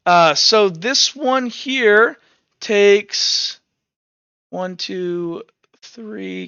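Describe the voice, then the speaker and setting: A man's voice: high voice, pushed and bright, clear, resonant tone, no echo, lo-fi sound. A man acting as game master, casually narrating a tabletop game and talking through rules and dice rolls on a headset.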